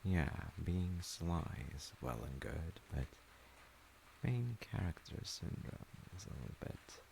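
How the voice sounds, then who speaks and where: A man playing a gentle, thoughtful dad, telling a folktale and chatting reflectively in a measured, intimate way with pauses. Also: low-pitched, very animated, vocal fry, slight background noise, low-quality recording. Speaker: storyteller, audio roleplay voice actor playing a dad. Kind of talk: intimate improvised-sounding bedtime storytelling and chat.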